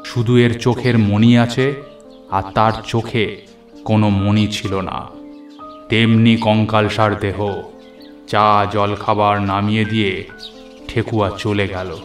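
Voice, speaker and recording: A man's voice: fairly low pitch, flat delivery, slow pace, long pauses. A man narrating a scripted horror story in the first person, theatrical and suspenseful. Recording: echoey, music underneath.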